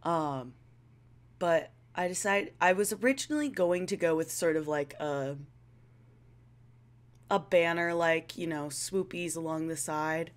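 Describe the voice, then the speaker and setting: A woman's voice: deep voice, unhurried, pauses often. A young woman giving casual, rambling commentary as a video creator, playful and self-deprecating.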